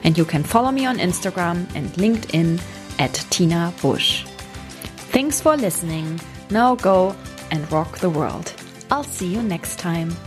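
A woman with a German accent, a native German speaker, hosting her own podcast as an English coach. She speaks carefully and clearly at a measured pace. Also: on the low side, lively intonation, soft background music. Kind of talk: solo podcast monologue.

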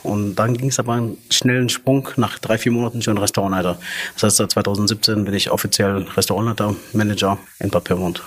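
A man's voice: lowish voice, fairly even intonation, fast, a bit bright. A young man, a restaurant manager, talking casually in an interview with frequent fillers and restarts.

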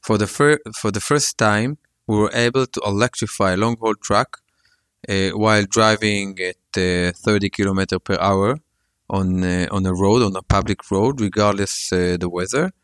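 A man with a fairly low voice answers interview questions in a close, dry recording. He speaks earnestly, hesitating often with fillers as he works through his thoughts. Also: normal speed, fairly bright tone, clear, resonant tone, no echo. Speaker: company co-founder.

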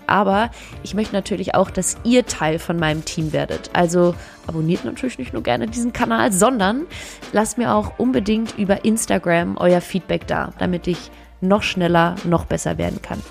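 A woman's voice: mid-range voice, creaky. A woman, hosting a casual podcast interview, bubbly and slangy, laughing often. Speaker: podcast host.

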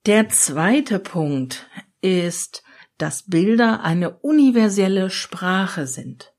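A woman's voice: mid-range voice, extremely slow. German woman coaching her listeners. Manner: lively, coaching, addresses listener directly. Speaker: podcast host and change consultant. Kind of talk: solo podcast talk.

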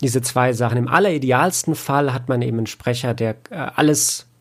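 A man's voice: medium pitch, a little fast. A man answering casually in an interview, explaining his work in a reflective way. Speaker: audiobook editor and producer, interview guest.

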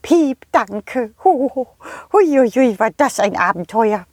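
A woman voicing a small, excitable male bird who peeps and stammers in a children's audio play. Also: a little high, measured pace, no room sound, studio quality. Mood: cheerful. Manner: chirpy, interjects peeps and stammers.

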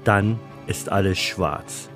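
A man's voice: sits low, slow, over music. A man reading a scripted first-person story as its narrator.

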